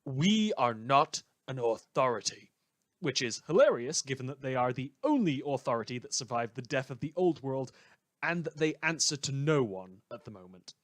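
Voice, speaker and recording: A man's voice: medium pitch, fairly bright tone, dry recording, clean studio sound, lightly acted. A man playing a sardonic radio host in a superhero world, delivering scripted satirical news with dramatic, sarcastic emphasis.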